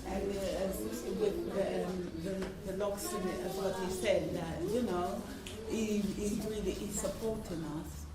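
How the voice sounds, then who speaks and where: A woman's voice: medium pitch, fairly even intonation, unhurried, few pauses. A woman chatting casually as a participant in a community group discussion. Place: echoey room at a community centre gathering. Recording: echoey, distant, noisy room recording.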